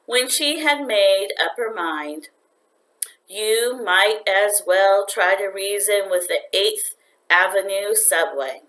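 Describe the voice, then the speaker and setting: A woman reading a children's story aloud like a teacher, with expressive, deliberate narration. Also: mid-range voice, poor audio.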